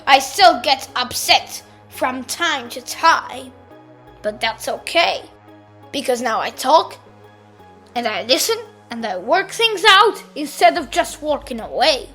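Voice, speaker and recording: A woman voicing a sour, dramatic grape who tells its own story in an expressive children's read-aloud manner. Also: sits high, voice pushed down, heavily acted. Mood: calm, hopeful. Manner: exclamatory, theatrical, drawn-out delivery.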